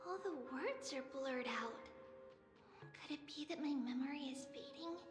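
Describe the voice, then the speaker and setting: A woman voicing a sweet, excitable little girl, a childlike holy maiden in a video game. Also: sits high, moderate pace, natural voice. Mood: pensive. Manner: bubbly, childlike, hyper. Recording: echoey long reverb with music behind.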